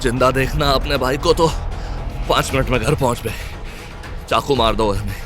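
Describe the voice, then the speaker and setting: A man voicing a stabbed young man who is rough and defiant, speaking in gruff, curt bursts. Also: medium pitch, moderate pace, over music, pitched above the performer's own voice, close to an everyday voice. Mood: urgent.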